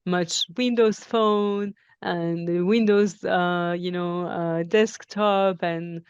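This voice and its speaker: A woman in her forties from Iran and France speaks in a fairly low register, as an interview guest would. She explains things reflectively and often reworks a sentence midway. The sound is close and dry, with a slightly dulled, lo-fi top end. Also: steady tone, slow pace, smooth, dry recording. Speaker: interview guest, technology professional. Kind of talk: conversational interview answers.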